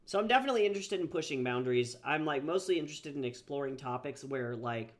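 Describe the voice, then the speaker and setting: A man's voice: mid-range voice, expressive, fast. Casual, slightly sick man chatting. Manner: rambling, profane, many fillers and restarts. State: a little sick. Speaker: livestreaming artist and author. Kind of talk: casual chat while drawing on stream.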